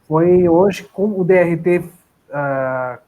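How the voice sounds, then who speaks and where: A man's voice: fairly high pitch, slow pace, narrow, tinny audio. A man, an actor, chatting casually in a live interview about his career, often restarting his sentences. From southern Brazil. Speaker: actor guest.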